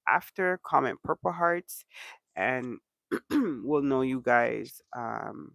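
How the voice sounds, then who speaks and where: A man's voice: mid-range voice, vocal fry, no room sound. A man from Canada hosting a casual livestream chat, congested from allergies and rambling along with lots of ums. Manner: relaxed rambling with many ums. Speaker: channel host.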